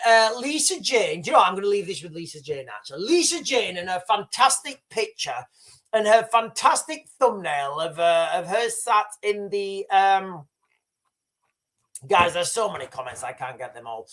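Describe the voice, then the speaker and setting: A man's voice: sits high, fairly bright tone. A man, hosting a casual live stream in a rambling way, repeating himself and calling the viewers guys. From United Kingdom. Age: 40s. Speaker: livestream host.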